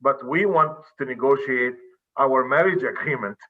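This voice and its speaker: A man's voice: mid-range voice, normal speed, phone-quality sound. A man from Israel, giving an earnest, explanatory talk over a video call. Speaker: presenter, director of a civic center.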